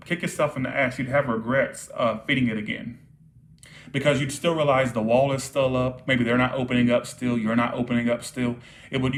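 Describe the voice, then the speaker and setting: A man reading tarot cards live for viewers, repeating his points and checking in often, his voice starting to tire. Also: medium pitch. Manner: repetitive, checks in with frequent "all right?". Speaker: tarot reader.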